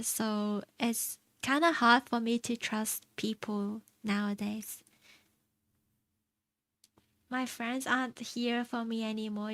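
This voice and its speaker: A young woman from China chatting casually on stream, answering her chat in a soft, rambling way and laughing often. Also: fairly high pitch, dry recording. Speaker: VTuber streamer. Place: home streaming setup.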